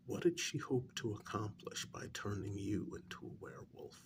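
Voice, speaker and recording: A man playing a calm clinical researcher in an ASMR role-play, speaking softly and gently in a breathy, creaky near-whisper with deliberate pauses. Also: fairly low pitch, a little hiss or hum, close to an everyday voice. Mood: calm, probing. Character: calm clinical male researcher gently interviewing a werewolf patient.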